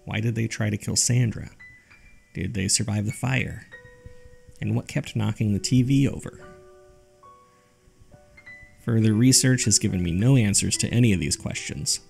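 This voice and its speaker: A man's voice: lowish voice, normal speed, creaky, long pauses, darkish tone. A man reading scripted narration as the host of a horror fiction podcast. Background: background music.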